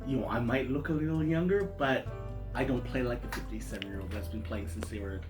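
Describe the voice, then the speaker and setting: A man in his fifties, a guest musician, talking in a reflective, halting way with many restarts. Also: medium pitch. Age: 50s. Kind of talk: casual conversation. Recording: roomy sound with guitar music behind.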